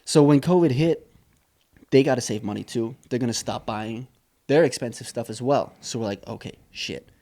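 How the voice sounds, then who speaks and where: A young man from Saipan talks through his story in a relaxed, rambling way, with a gravelly edge in his voice. His speech is mid-pitched and full of fillers and restarts. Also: moderate pace. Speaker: entrepreneur guest. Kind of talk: casual interview storytelling.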